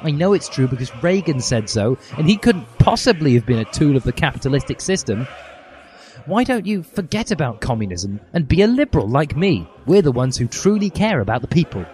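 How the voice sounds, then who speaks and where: A man's voice: on the high side, big pitch swings, fairly quick, a little mellow, slight background noise, voice pushed up. A man voicing a smug, preachy, bookish teenage schoolgirl in a naggy, prim manner for a comic story.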